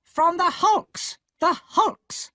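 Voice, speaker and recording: A man voicing a hard, angry older woman who scolds and snaps in a shrill voice. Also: very slow, sharp tone, no echo, studio quality, exaggerated voice. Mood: snapping.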